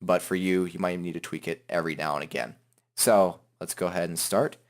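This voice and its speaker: A man hosting a software tutorial in an informal, joking, self-deprecating way. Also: sits low, steady tone, fairly quick. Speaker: tutorial host. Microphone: headset microphone.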